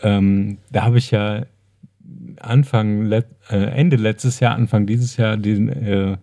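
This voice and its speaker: Mellow-voiced man chatting on a podcast. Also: on the low side, steady tone, slow pace, vocal fry. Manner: colloquial, opinionated. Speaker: podcast co-host. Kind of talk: casual chat.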